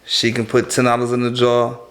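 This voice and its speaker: A man's voice: medium pitch, completely flat delivery, fairly quick, fairly bright tone. A man on a talk panel explaining his idea in casual, colloquial speech, often checking that the others follow. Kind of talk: casual chat.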